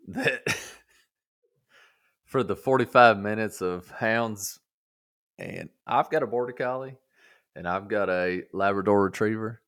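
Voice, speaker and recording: Lower-pitched man in casual conversation. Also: a bit bright. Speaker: podcast co-host. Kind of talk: casual chat.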